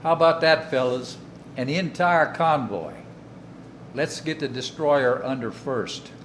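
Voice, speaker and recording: An actor playing a confident, aggressive wartime submarine officer in a war drama, with a folksy military manner. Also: male voice, mid-range voice, expressive, unhurried, a little hiss or hum, close to an everyday voice. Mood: gung-ho. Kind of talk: radio-play style war drama acting.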